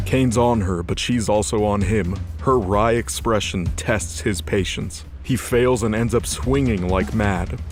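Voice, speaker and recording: A man's voice: fairly low pitch, unhurried, hardly any pauses, faint music behind. A man reading scripted, dramatic narration as the narrator of a fantasy audio drama.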